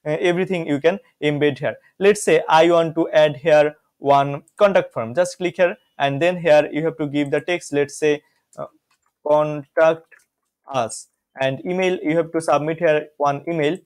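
A man's voice: on the high side, steady tone, normal speed, darkish tone. A young man from Bangladesh recording a screen tutorial, walking through each step with frequent "guys" and "see". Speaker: software reviewer.

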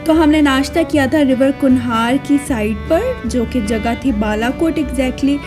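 A woman's voice: high-pitched, unhurried. A young woman with a Pakistani accent narrating her trip in a casual, chatty voice-over that mixes in English phrases. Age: young adult. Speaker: travel vlogger. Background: music.

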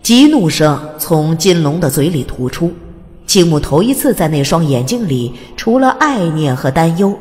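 A woman's voice: low voice, big pitch swings, moderate pace, a little mellow. A woman narrating a fantasy audiobook in a dramatic, scripted storytelling style. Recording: long reverb over music. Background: music.

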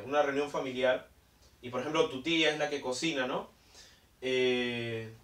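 A man's voice: mid-range voice, fairly bright tone, recorded from a distance. A young man teaching a whiteboard lesson, explaining step by step, repeating key terms and often checking in with "ok?". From Peru. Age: young adult. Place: indoor room in front of a large whiteboard. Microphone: camera mic from a distance. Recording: roomy echo, voice from off-mic.

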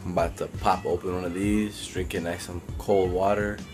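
A man in his twenties chatting casually to a handheld phone camera, relaxed, with lots of fillers. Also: sits low, moderate pace, music in the background. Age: 20s. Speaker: vlogger. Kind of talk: casual daily vlog. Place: car, store and home kitchen. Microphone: handheld phone at arm's length.

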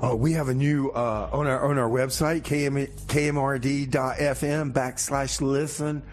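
A man's voice: medium pitch, steady tone, moderate pace. Rambling male radio host over background noise. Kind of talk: casual comedic radio banter and song announcing.

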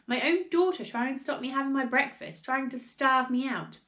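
A woman voicing a domineering, selfish old grandma in a storybook, shouting and accusing. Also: mid-range voice, expressive, moderate pace, natural voice. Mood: angry, bossy.

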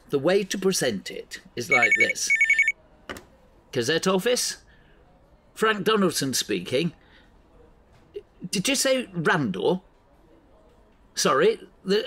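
A man's voice: a little high, fairly bright tone, exaggerated voice. A man acting as a seasoned, middle-aged small-town newspaper editor in an audio drama, dry and avuncular. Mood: instructive, then puzzled, straining.

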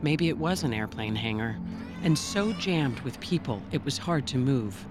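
An actor playing a survivor who recalls a childhood evacuation in dramatic first-person narration. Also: male voice, mid-range voice, lively intonation, normal speed, music in the background, close to an everyday voice. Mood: somber recollection.

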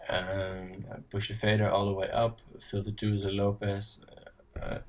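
Dutch man with a low, casual voice. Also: completely flat delivery, recorded from a distance, rough recording. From Netherlands. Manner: casual, halting, many ums and restarts. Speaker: synth tutorial presenter. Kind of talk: screen-recorded software tutorial. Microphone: voice-over on screen recording.

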